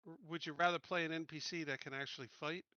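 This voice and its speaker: A man's voice: a little high, fairly even intonation, fast talker, sharp tone. A man playing a tabletop roleplaying game, casually talking through tactics, recorded slightly dull on a close mic. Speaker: tabletop RPG player.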